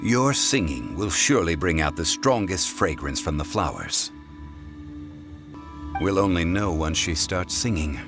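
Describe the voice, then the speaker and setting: Learned gentleman, low-pitched, composed, formal and courteous, expressive intonation, video game dialogue, music underneath. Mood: measured, knowing. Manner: formal, measured, courteous, explanatory. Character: calm, refined, scholarly gentleman consultant, learned and composed.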